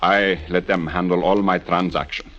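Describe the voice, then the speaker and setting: A guarded foreign villain in vintage radio drama. His voice is very low and his phrasing stiff, formal and evasive. The recording is dull and old-fashioned. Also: steady tone, moderate pace, fairly bright tone. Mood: guarded, polite. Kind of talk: 1940s-50s radio drama acting. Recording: muffled vintage radio broadcast.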